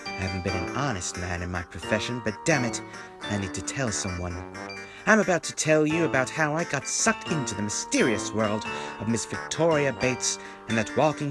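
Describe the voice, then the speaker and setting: Man acting an animated, earnest newspaper reporter. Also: fairly low pitch, close to an everyday voice. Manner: earnest, polite, melodramatic. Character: earnest, put-upon newspaper reporter man, narrating his story. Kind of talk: old-time radio play narration and dialogue. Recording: music and crowd behind.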